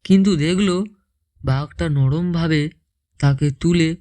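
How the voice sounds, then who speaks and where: A man narrating a scripted children's story in a measured way, as if for small children. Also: fairly high pitch, dark tone.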